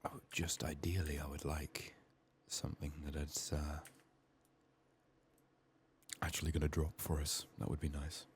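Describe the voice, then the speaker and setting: A man softly narrating his video game in an ASMR style, musing to himself in a hushed, slightly strained, under-the-weather voice. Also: very deep voice, flat delivery, unhurried, long pauses, a little hiss or hum. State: sick, strained voice. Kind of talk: soft-spoken, partly whispered ASMR game commentary.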